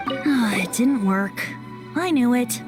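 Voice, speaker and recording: A young male bard in a video game, with a light, boyish, high voice. The delivery is theatrical and animated, sliding between teasing and cajoling, with faint music behind. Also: slow pace. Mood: playful, sly. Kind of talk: video game character acting.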